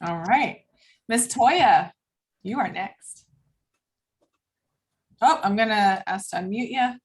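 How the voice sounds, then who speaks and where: Slow-paced, lively-pitched woman hosting. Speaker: host of a cast table read. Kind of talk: casual hosting chat. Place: video call.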